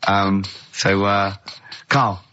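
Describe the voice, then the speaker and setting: Male comic radio host, southern English accent, sharp forward voice, loose chatty style, muffled audio. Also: low voice, little pitch movement, very slow. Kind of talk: casual comic radio chat. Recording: slightly muffled lo-fi radio recording.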